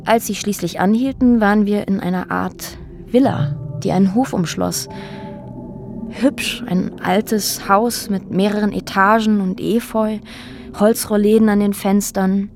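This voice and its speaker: A woman in a radio drama, telling of a harrowing past with restraint. Her delivery is sober and measured, at a middle pitch, with thoughtful pauses. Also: vocal fry, music in the background. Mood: grave, restrained. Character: woman quietly recounting a brutal police ordeal, former activist. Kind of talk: German radio drama dialogue and narration.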